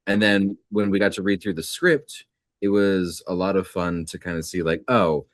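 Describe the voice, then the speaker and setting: A young man with a very low, deep voice talks casually about his acting work as an interview guest. He sounds easygoing and reflective and leans on fillers as he thinks. The recording is dry, with no room sound around him. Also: fairly even intonation, normal speed, no echo. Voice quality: deep natural speaking voice. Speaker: voice actor guest.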